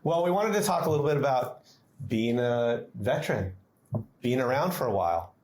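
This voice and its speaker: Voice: mid-range voice, animated, moderate pace, a little mellow. A podcast host leading a casual chat with student guests in a playful, teasing manner.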